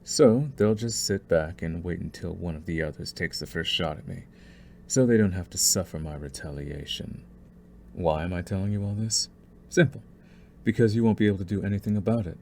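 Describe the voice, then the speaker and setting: A man playing a possessive, scheming young mafia boss in an ASMR roleplay, smug and deliberate, with mock courtesy. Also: deep voice, vocal fry, a little mellow, slight background noise. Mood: smug menace, then possessive, controlling. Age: young adult.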